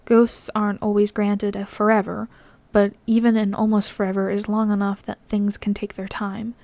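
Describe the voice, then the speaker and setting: A woman voicing an earnest young half-ghost man who explains himself slowly and gently in a storybook reading. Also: mid-range voice, little pitch movement, vocal fry, no room sound, close to an everyday voice. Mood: earnest, explanatory.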